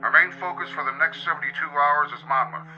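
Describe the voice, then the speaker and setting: A man's voice: a little high, soft background music. An actor in a radio drama playing a firm, businesslike male agency boss, heard through a telephone filter. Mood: firm, insistent. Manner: brisk, firm, authoritative. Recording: muffled, band-limited phone call.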